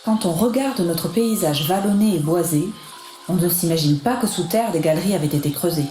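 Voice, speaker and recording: A woman's voice: on the low side, a little fast, mellow. A woman reading scripted radio narration in an echoey room, with music behind her.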